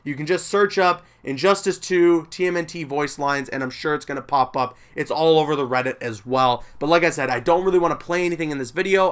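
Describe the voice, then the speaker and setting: A man with a fairly high-pitched voice presents video game news. His delivery is casual and conversational, like a host chatting to regular viewers. He sounds enthusiastic and stresses key words emphatically. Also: fairly bright tone.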